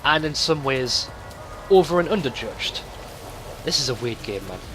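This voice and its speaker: A man gives casual, rambling let's play commentary as a gaming host. His voice is bright and forward, with pitch that moves around a lot. He thinks aloud in a conversational way, and music plays faintly behind him. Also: animated, moderate pace.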